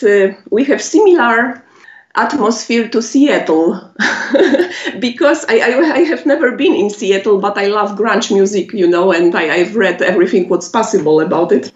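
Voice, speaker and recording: A woman with a Polish accent, a native Polish speaker, answering interview questions in halting English with many pauses and laughs. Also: a little high, lively intonation. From Poland. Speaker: musician guest. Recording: echoey, muffled lo-fi audio.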